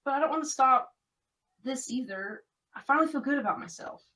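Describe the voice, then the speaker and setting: A woman's voice: high voice, lively intonation, a little fast, pushed and bright, recorded from a distance. A woman playing an earnest, conflicted young American woman in an audio drama, confiding in natural dialogue. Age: young adult.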